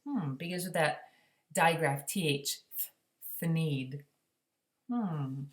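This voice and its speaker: A woman reading a children's story aloud expressively, pausing to explain it in simple words. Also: low-pitched.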